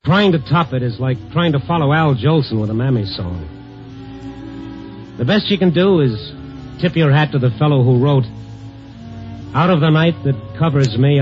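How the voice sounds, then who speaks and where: An actor playing a world-weary newspaper reporter who narrates with dry wisecracks in a 1950s radio drama, on a dull old broadcast recording. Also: male voice, mid-range voice, natural voice. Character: wry night-shift male reporter, world-weary.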